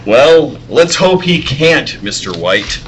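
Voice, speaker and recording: A man playing an earnest reporter with a secret heroic side, speaking with deliberate urgency in old-time radio serial style. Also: mid-range voice, sing-song, a bit bright, a little hiss or hum. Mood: grave, soothing.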